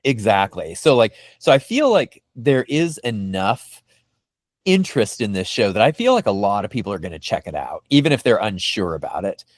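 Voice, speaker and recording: A man hosting a fan discussion podcast, talking in an animated, emphatic way. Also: on the low side, moderate pace, no room sound. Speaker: podcast host.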